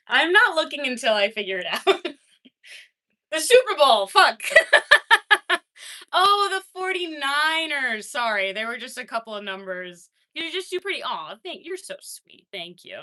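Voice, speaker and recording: A young adult woman streaming, casually chatting with her viewers and reading their messages, laughing often and swinging between excited and quiet. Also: high voice, slow, pauses often. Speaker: livestreamer reading chat. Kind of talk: casual livestream chat.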